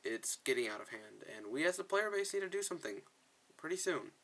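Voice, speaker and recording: A man's voice: a little high, very animated, a little fast, fairly bright tone, low-quality recording. A man giving casual, opinionated commentary as he plays a video game, often sounding exasperated. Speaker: gaming video creator, player. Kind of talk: casual game commentary with dialogue read aloud.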